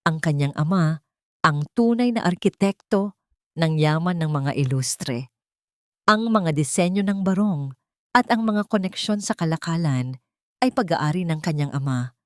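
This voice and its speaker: A woman reading a scripted dramatic story as narrator, in a measured, literary storytelling manner. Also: fairly low pitch, a little mellow, dry recording, studio quality.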